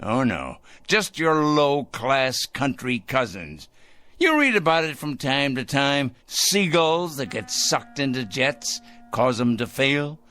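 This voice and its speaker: An actor playing an eccentric, fanatical man obsessed with evil people, rambling and excitable in a vintage television drama. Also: medium pitch, takes it slowly, full character voice. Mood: musing, gleeful. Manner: rambling, excitable, chuckling, throat-clearing. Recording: old TV soundtrack with music underneath.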